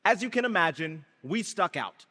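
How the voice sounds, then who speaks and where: A young man from Brazil, raised in Guyana, giving a lively startup-founder speech on stage. He tells his story with comic timing and talks straight to the crowd. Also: sits high, moderate pace, husky. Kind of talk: live stage speech with audience call and response. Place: large event hall before an audience. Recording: reverberant hall through a PA.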